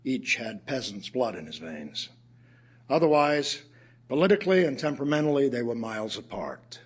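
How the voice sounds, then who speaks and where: An actor playing a weary, wry male writer, reciting poems and prose as a measured, literary storyteller in an audio play. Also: medium pitch, very animated, slightly rough. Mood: measured storytelling.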